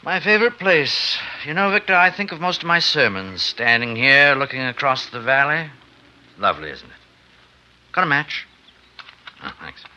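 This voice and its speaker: A man's voice: fairly high pitch, sing-song, moderate pace, bright, forceful tone, exaggerated voice. A man playing a genial, kindly clergyman in a 1950s radio drama, chatty and reassuring with his friend. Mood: concerned, gentle. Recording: faintly noisy 1950s radio recording.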